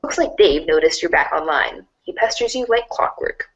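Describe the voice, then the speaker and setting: A woman's voice: low voice, animated, normal speed, fairly bright tone, muffled recording. A woman narrating a read-along storybook in a scripted storytelling style.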